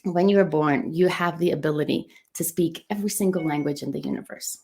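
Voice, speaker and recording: Upbeat coach, Portuguese-native woman, low-pitched. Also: a little fast, dark tone. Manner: enthusiastic, emphatic, repeats words for stress. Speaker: coach and run club business owner. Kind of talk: teaching a motivational online lesson.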